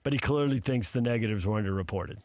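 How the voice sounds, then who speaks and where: A man's voice: on the low side, quick pace, a little mellow, dry recording, studio quality. A man hosting a sports talk radio show, introducing segments and putting questions to guests.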